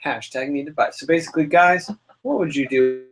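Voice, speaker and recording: A man's voice: a little high, fairly quick. A young man co-hosting a casual podcast, reading listener questions and joking around. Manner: giggly, reads listener questions aloud. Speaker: podcast co-host. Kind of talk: casual chat.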